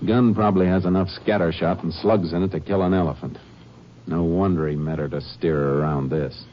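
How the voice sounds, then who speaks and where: An actor playing a steady, shrewd Texas Ranger in a 1950s radio drama, speaking in terse, commanding phrases. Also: male voice, low voice, fairly quick, close to an everyday voice. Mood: grim, resolute. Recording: noisy, muffled old-time radio recording.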